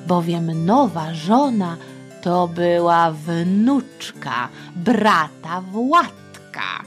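A middle-aged woman hosting a children's history podcast, telling a story in a playful, colloquial, expressive way. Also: lowish voice, drawn-out words, soft background music. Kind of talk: informal scripted storytelling for children.

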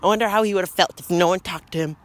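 A teenage boy vlogging on a walk down a quiet street, joking and rambling into a phone held at arm's length. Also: high-pitched, steady tone, very fast. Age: teens. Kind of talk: goofy walking vlog.

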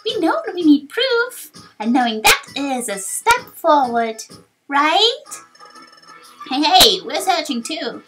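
Game guild creature, woman performer: high, lively, cheery, determined, emphatic. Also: takes it slowly, loud music behind the voice, exaggerated voice, poor audio. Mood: upbeat, determined.

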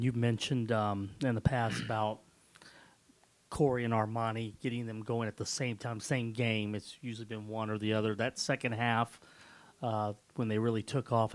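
A man, a sports reporter, asking a coach a long question at a press conference in a measured way. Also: fairly low pitch.

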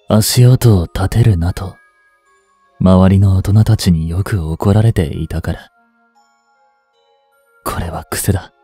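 A man in his twenties playing a reluctant, guilt-ridden young hitman in an audio drama, softly spoken and halting. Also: lowish voice, slightly rough, dry recording. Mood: brooding, sorrowful. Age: 20s. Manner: halting, subdued delivery. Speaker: voice actor. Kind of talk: Japanese audio drama monologue and dialogue.

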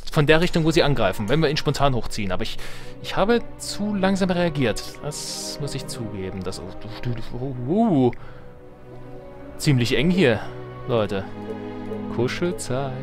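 A man's voice: mid-range voice, sing-song, moderate pace. A man giving casual let's play commentary, reacting aloud and muttering to himself as he plays. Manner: talks to himself, exclaims and mutters in reaction to the game. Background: game music.

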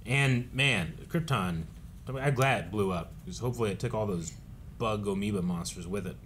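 A man's voice: lowish voice, moderate pace, a bit bright, a little hiss or hum. A man in his thirties with an American accent, chatting casually as a reaction show host. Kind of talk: casual discussion of a cartoon episode. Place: indoor studio with set shelves.

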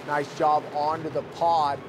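A man doing excitable color commentary at a motorsport show, urging the drivers on. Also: a little high, unhurried, background noise. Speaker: sports commentator. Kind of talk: arena sports commentary.

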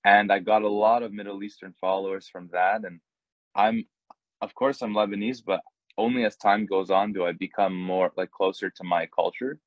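A young man from Canada, a guest on a video call, telling stories in a relaxed, chatty way. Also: on the low side, moderate pace, no room sound. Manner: relaxed and chatty, acts out quoted exchanges. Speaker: guest, content creator. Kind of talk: casual storytelling in an interview. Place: indoors, small plain room. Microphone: earbuds on a video call. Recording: dull, dry video call audio.

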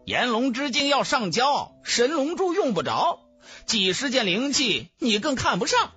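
A male narrator plays a brash young cultivator from a fantasy audiobook. His voice sits high and leaps around dramatically, with an exclamatory, incredulous bluntness. Soft music runs underneath. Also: very animated, unhurried, slightly rough, full character voice. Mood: taunting. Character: brash excitable young male cultivator, suspicious then chummy. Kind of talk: fantasy audiobook character acting.

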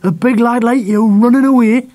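A storybook father, gruff and full of scorn, acted out by a male narrator. His pitch rides high and swings widely as he scolds. The sound is close and dry. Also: expressive, a little fast, darkish tone, no echo, soft background music. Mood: angry, scornful. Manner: gruff, scolding, colloquial swearing. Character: angry, scarred working-class father, blustering and scornful.

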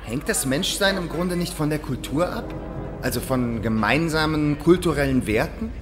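An actor playing a curious, sceptical geologist, casual and questioning, in a science fiction radio drama. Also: male voice, a little high, lively intonation, moderate pace, close to an everyday voice. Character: curious, sceptical male geologist, colleague on the mission. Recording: loud background ambience.

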